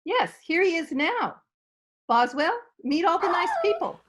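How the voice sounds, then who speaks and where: A woman's voice: extremely high pitch, very animated, unhurried, fairly bright tone, heavily acted. A woman playing a polite, genteel dog-loving lady in a radio comedy, prim and earnest. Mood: genteel, fond. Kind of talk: old-time radio comedy acting.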